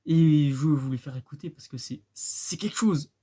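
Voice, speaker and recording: A man's voice: a little high, moderate pace, heavy background noise. A man giving a casual explainer, conversational, with rhetorical questions and phrases repeated for emphasis. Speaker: presenter of a radio-hobby video.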